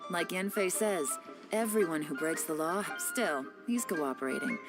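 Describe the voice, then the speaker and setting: A woman's voice: lowish voice, animated, normal speed. A woman playing a shrewd, confident intelligence operative in a video game, composed, knowing and wry. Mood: calculating. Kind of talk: video game dialogue, dramatic exposition. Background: music.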